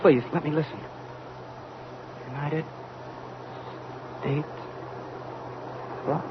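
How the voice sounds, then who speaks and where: An actor playing a fearful spaceship radio operator in an old-time radio drama, speaking in halting, anguished broken phrases. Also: male voice, fairly high pitch, steady tone, slight background noise. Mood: straining, anxious. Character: distraught, fearful spaceship radio operator relaying faint messages. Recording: muffled old radio recording, loud music behind.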